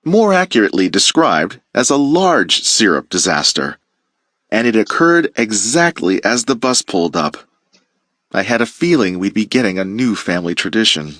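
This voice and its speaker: A man teacher reading a children's picture book aloud with playful, expressive storytelling. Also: on the low side, normal speed, no room sound, studio quality.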